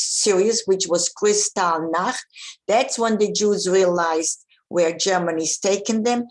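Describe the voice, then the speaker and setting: Older woman from Poland, survivor testimony, direct and unpolished delivery with asides. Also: mid-range voice. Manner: plain, direct, with restarts and asides. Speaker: Holocaust survivor speaker. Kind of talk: personal testimony talk.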